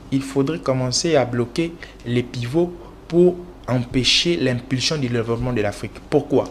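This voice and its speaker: A man's voice: medium pitch, slight background noise. A man from the Congo speaking as a political analyst in an interview, lecturing with many fillers and restarts. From Congo (DRC). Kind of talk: interview answers.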